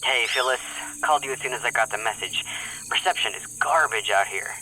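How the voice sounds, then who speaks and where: An actor playing an easygoing, teasing detective in an audio drama, casual and folksy. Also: male voice, medium pitch, brisk, bright, forceful tone, a little hiss or hum, poor audio. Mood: reassuring, teasing.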